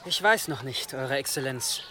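A man's voice: medium pitch, expressive, normal speed, noisy background, close to an everyday voice. A man playing a deferential former manservant, polite and hesitant, in a radio drama. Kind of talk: German radio drama acting.

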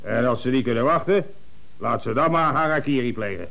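A self-important company director in a radio comedy. He has a mid-pitched voice and blusters emphatically, sure he is never wrong. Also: lively intonation, fairly quick, gravelly, fairly bright tone. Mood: self-satisfied, commanding. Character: pompous, infallible, domineering male company director.

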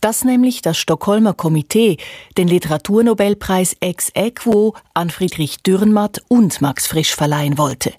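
A woman's voice: medium pitch, normal speed, warm tone, no echo, clean studio sound, lightly acted. A woman performing a scornful reciter of poets' insults in a literary radio feature. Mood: pointed.

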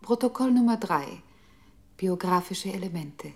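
A woman's voice: lowish voice, expressive, unhurried, gravelly, music in the background. An actress playing a computer's control voice in a radio play, impersonal, clipped and precise as she gives orders. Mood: impersonal, commanding. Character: female computer control unit, cold and commanding. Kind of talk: avant-garde radio play, clipped announcing.

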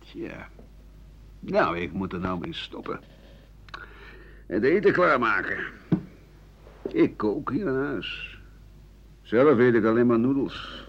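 A man playing a weary, embittered writer of 67 in a radio play, grumbling in halting phrases that trail into breathy murmurs. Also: medium pitch, lively intonation. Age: older. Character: embittered, weary 67-year-old French writer and doctor, pessimistic. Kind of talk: radio play monologue as interview answers. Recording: dull, hissy 1980 radio recording.

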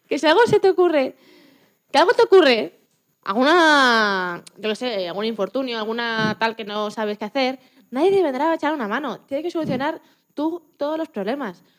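Woman playing a high-voiced, chatty Spanish scientist. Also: normal speed, long pauses. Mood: enthusiastic. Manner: expressive, chatty, self-correcting. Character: chatty, proud, enthusiastic woman Antarctic scientist. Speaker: interview guest.

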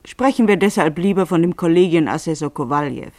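A narrator reading scripted literary prose for a radio play in a measured, explanatory, mock-formal way. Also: medium pitch, a little mellow, no room sound.

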